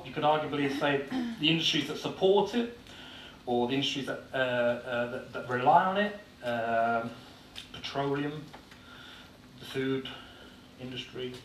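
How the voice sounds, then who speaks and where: Irish-born man, English accent, conference speaker, blunt and informal, lots of "uh"s, mid-range voice, picked up from a distance. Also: medium pitch. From Ireland. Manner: blunt, informal, with frequent "uh" fillers. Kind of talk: conference presentation. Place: conference hall. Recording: echoey hall, distant mic, faint noise.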